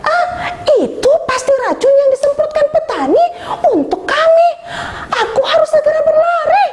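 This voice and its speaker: A tiny, adventurous young grasshopper with a very high, squealing voice that swoops widely, fretful and quick to panic, as a woman storyteller puts it on. It exclaims and frets in lively bursts. A faint hum of ambience sits behind it. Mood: panicked. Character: small young grasshopper, adventurous and easily frightened.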